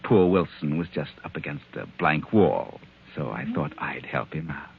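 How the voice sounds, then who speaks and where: An actor playing a sinister, all-knowing storyteller who narrates an old radio mystery in an ominous, sardonic manner. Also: male voice, rumbling low, lively intonation, moderate pace, vocal fry, breathy edge, phone-quality sound, exaggerated voice. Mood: wry, knowing. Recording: old 1940s radio recording with music.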